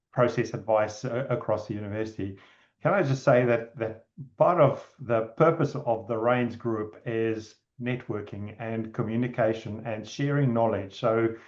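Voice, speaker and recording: Earnest New man, dull call audio. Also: mid-range voice. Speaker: university executive officer. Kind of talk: self-introduction on a panel.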